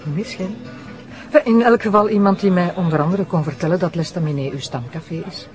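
An actress playing a self-assured, teasing Flemish deputy prosecutor, formal and arch, in an audio drama. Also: female voice, lowish voice, fairly quick, darkish tone, soft background music, natural voice. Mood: arch, confident.

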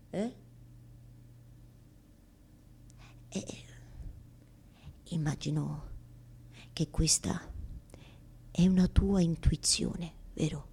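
A woman's voice: mid-range voice, darkish tone, husky, exaggerated voice. An anguished woman pleading with God. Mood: bitter, sardonic. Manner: halting repetitions, pleading, swings from murmurs to outcries. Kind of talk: theatrical monologue, radio theatre.

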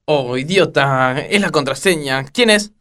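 A man's voice: fairly high pitch, animated, a little slow, a bit bright. A man playing a pompous, fatherly, long-winded gang boss in a solemn parody radio drama. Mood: stern.

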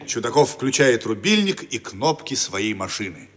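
A man's voice: mid-range voice, big pitch swings, moderate pace. A man reading stage directions as the narrator of a radio play. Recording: faintly noisy archive radio recording.

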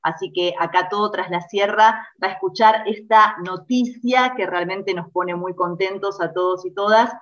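A woman's voice: medium pitch, normal speed, few pauses, highs cut off. Upbeat Argentine woman hosting a radio interview. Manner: chatty and upbeat, with small restarts. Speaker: radio host.

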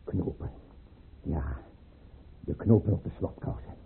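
An actor playing a capricious tyrannical tsar in a Dutch radio play, with clipped orders and muttered asides. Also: male voice, deep voice, a little slow, creaky, narrow, tinny audio, a little hiss or hum. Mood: muttering, fixated. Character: capricious tyrannical tsar, obsessed with drill and buttons. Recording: band-limited old radio play recording.

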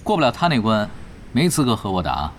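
Clear background music sits under a cocky veteran pro gamer in an audio drama. He talks in a nonchalant, teasing way, with very animated pitch that swings widely. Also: fairly quick. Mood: teasing, relaxed. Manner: casual, nonchalant, taunting. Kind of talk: Chinese audio drama acting.

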